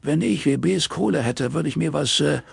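A crude, joking male investigator as a reader puts him on in a crime audiobook. He teases in a clear voice that sits high over the narration, recorded dry. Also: normal speed, no room sound, heavily acted. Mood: leering.

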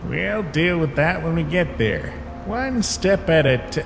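A man voicing a weary, gentle skeleton monster in a comic dub, speaking in a halting, resigned way. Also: fairly high pitch, animated, vocal fry, over music. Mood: gentle, tired. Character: weary, guilt-ridden male skeleton monster, protective and gentle. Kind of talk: dramatic comic dub voice acting.

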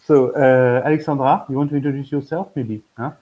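Man, 50s, French accent, dark mellow voice, husky, rambling video-call talk. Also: mid-range voice, steady tone, brisk, dull audio. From France. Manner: rambling, many fillers and restarts. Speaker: admissions consultant. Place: room at home.

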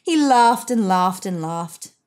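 A woman narrating a children's picture book expressively, with deliberate emphasis on key phrases. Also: mid-range voice, lively intonation, a little mellow.

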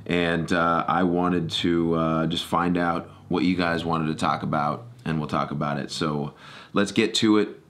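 A man's voice: low voice, steady tone, a little slow, fairly bright tone. A middle-aged American man hosting a podcast, chatting casually and rambling as he corrects himself. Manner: rambling, self-correcting storytelling. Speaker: podcast host. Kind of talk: casual solo podcast chat. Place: small home studio room. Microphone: close boom-arm podcast microphone.

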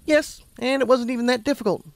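A man's voice: very high-pitched, quick pace, vocal fry, no echo, pitched above the performer's own voice. A man voicing a confident, kindly female unicorn pony who explains things like a patient mentor. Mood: upbeat, instructive. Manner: assured, explanatory.

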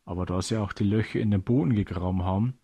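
A man narrates a scripted story for children. His voice sits a little low and has a husky, unpolished grain. He delivers the text as a storyteller. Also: quick pace, mellow.

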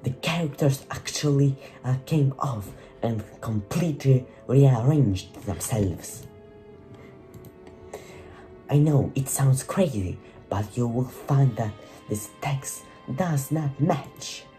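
A man's voice: medium pitch, slow pace, dark tone, soft background music, close to an everyday voice. A man putting on an odd-accented archaeologist dad. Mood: excited. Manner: odd put-on foreign-sounding accent. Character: excitable middle-aged father archaeologist.

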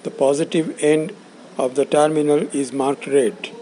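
A man giving a scripted instructional narration in a measured, explanatory way, in short phrases. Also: a little high, fairly even intonation, dark tone. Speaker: instructor presenting components.

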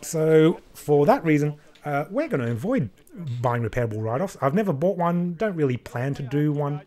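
A man's voice: a little high, moderate pace. Chatty Australian guy in his thirties. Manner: relaxed, chatty, rambling with many fillers. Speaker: car enthusiast streamer. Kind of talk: casual livestream commentary. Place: small home room, indoors.

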